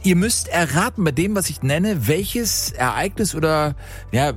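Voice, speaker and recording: A man hosting a radio phone-in quiz in a lively game show style. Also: a little high, unhurried. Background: music bed.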